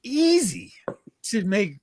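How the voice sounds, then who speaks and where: A man hosting a casual panel chat in a slangy, animated way. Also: high voice, takes it slowly, a little hiss or hum. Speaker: podcast host. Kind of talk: casual panel chat about pop culture.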